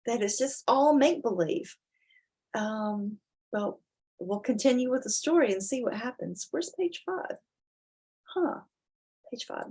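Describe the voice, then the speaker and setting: A woman with a slightly husky voice, reading a children's story expressively and chatting informally about it. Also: a little high, a little mellow. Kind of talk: dramatic storybook reading with casual explanatory asides.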